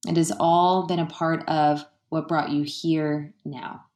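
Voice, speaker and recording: A woman with a low voice guides a meditation in an echoey, roomy space. Her delivery is gentle and unhurried, with soothing phrasing and pauses between thoughts. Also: flat delivery.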